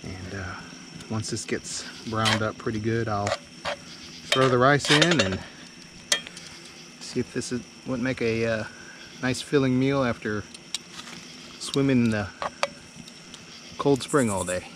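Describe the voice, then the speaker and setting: A man talking casually and haltingly as he hosts an outdoor camping vlog, with a laid-back, folksy manner. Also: mid-range voice. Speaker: vlog host. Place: outdoors at a state park and campsite. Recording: noisy outdoor recording with insects.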